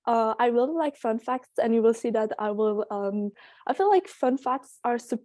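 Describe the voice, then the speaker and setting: A woman's voice: on the high side, expressive, moderate pace, a little mellow, no room sound, studio quality. A teenage girl from Belgium, a native French speaker, giving a hesitant presentation over a video call, full of ums and uhs. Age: teens. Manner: hesitant, many ums and uhs, laughs easily. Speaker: presenter on a virtual tour. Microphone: computer mic on a video call.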